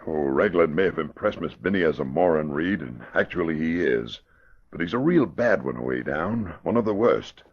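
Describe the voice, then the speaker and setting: An actor playing a grave, concerned police commissioner in an old-time radio crime drama, with a husky voice on a muffled recording. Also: male voice, a bass voice, lively intonation, normal speed, exaggerated voice. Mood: worried.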